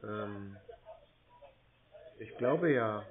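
A man's voice: fairly low pitch, animated, slight background noise. A man putting on a gullible, befuddled old man on a phone call, naive, hesitant and agreeable. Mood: hesitant, confused. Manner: naive, hesitant, slow to understand. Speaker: prank caller toying with a phone scammer. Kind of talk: phone call, short replies. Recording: tinny, band-limited phone line.

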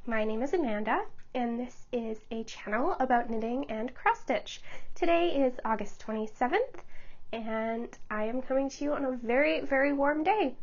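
A woman in her twenties hosting a knitting podcast, chatting casually to camera from a small home studio, a little stuffed up from a cold, talking quickly and stumbling over her words with frequent laughs. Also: a little high, lo-fi sound. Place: small home studio room under studio lights.

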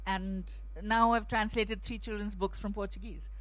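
A woman's voice: medium pitch, level tone, normal speed, rough recording. A middle-aged woman on an outdoor festival stage, speaking into a handheld mic, talking thoughtfully with many restarts and fillers. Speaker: translator on a festival panel. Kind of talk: panel discussion answers.

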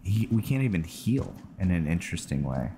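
A man giving casual, low-key commentary as he plays a video game, muttering his thoughts aloud. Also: sing-song, brisk, warm tone, slight background noise. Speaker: gamer, channel host. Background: game music.

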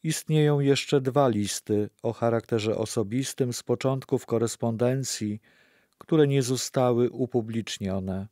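A man narrating a scripted religious history radio play in a measured, factual way. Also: mid-range voice, mellow, no echo, studio quality, close to an everyday voice.

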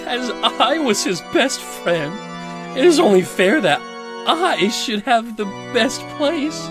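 Selfish storybook miller, male actor, self-important and animated, high voice for audio drama. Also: slow, music in the background, heavily acted. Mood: smug, self-pitying. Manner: pompous, self-pitying.

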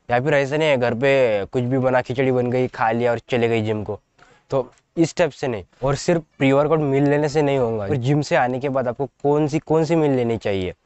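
A man's voice: mid-range voice, darkish tone, clean tone, dry recording, highs cut off. A young man in his twenties, a fitness vlogger giving chatty, repetitive diet advice straight to camera outdoors on a lapel mic. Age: 20s. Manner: chatty, repetitive, addresses viewers as guys. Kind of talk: casual direct-to-camera advice. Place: outdoors in front of trees.

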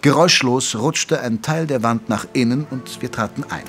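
An actor playing a detective's gentlemanly doctor companion, telling the story in wry, literate, dramatic narration. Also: male voice, mid-range voice, normal speed, music in the background, lightly acted. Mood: wry, suspenseful narration.

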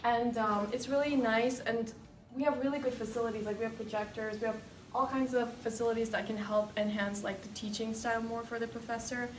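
A woman's voice: a little high, steady tone, a little fast, roomy sound. Young female student guide from Nepal and Tibet, informal and emphatic, distant mic with faint noise. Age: young adult. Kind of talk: casual unscripted campus tour. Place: indoor hallway and classroom.

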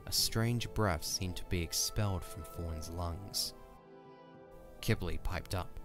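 A man's voice: sits low, slow. A man narrating a scripted story for an audio drama. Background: music.

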